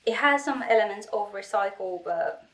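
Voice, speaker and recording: A woman's voice: mid-range voice, lively intonation, moderate pace. Young woman vlogging, stuffy and sniffly, rambly and self-deprecating, lots of restarts. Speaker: cosplay vlogger. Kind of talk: casual vlog talk.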